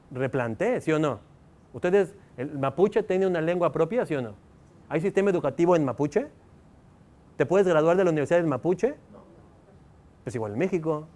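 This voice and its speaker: A man's voice: on the high side, a little mellow. A male university professor with a Mexican accent, lecturing students and firing rhetorical questions at them. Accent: Mexican Spanish. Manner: engaging, fires rhetorical questions at the audience.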